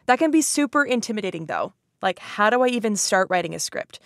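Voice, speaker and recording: A woman's voice: mid-range voice, lively intonation, brisk, no room sound, clean studio sound. A woman hosting a podcast in a casual, chatty way, playful and self-deprecating, often saying "like". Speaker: podcast host.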